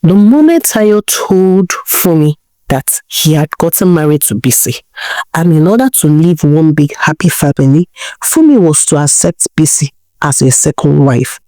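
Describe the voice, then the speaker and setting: Woman, Nigerian accent, dramatic story narration, animated intonation, close dry sound. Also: low voice, a little slow, no room sound.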